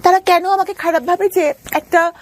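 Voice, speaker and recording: A woman's voice: very high-pitched, steady tone, normal speed. A Bangladeshi woman telling her own story as an interview guest, earnest and explanatory.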